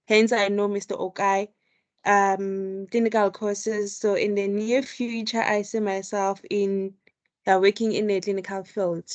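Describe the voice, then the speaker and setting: A woman student introducing herself in an online class, hesitant and filling pauses with ums and uhs. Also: mid-range voice, level tone. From South Africa. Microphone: video call.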